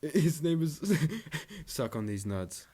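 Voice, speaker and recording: A man's voice: on the high side, big pitch swings, slow, dark tone, husky. A man co-hosting a podcast, chatting casually with a lot of swearing and teasing. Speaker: podcast co-host. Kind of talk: casual banter about manga.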